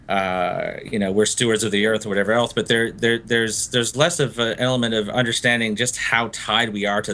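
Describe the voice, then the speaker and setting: Bright-voiced thoughtful novelist, a man. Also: on the low side. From Florida, US. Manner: thoughtful and discursive, laughs easily. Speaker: novelist guest. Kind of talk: interview conversation.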